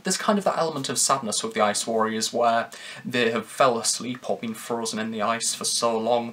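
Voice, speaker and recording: Guy doing a review, earnest and measured, normal mid pitch. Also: fairly bright tone, breathy edge. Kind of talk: audio drama review commentary.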